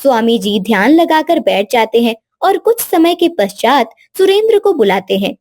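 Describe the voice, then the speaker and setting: High-pitched woman narrating a story. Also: very animated, normal speed, a little mellow.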